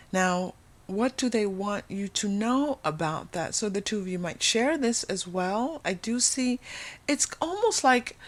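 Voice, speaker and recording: Female tarot reader, medium-pitched voice. Unscripted spiritual guidance straight to viewers, earnest, emphatic, with repeated phrases. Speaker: tarot reader hosting a channel.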